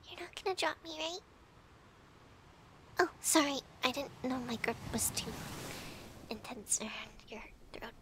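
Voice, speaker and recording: A woman playing a bratty but affectionate, easily flustered young girlfriend in an intimate ASMR roleplay, pouty and teasing, often dropping to breathy murmurs. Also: sits high, expressive, moderate pace, long pauses, very noisy. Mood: nervous, playful.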